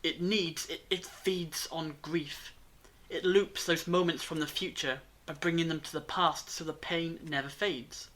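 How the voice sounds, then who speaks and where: A woman playing a brainy time-travelling alien in a fan audio drama, lecturing gravely in deliberate monologues with dramatic pauses. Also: low-pitched, fairly even intonation, fairly bright tone. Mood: urgent, grave.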